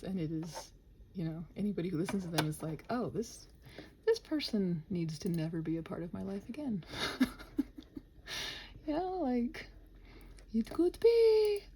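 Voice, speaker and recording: A woman rambling casually to listeners as she works, muttering and thinking aloud in a self-deprecating way, her soft voice often dropping near a whisper. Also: on the high side, slight background noise. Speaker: audio roleplay and ASMR creator.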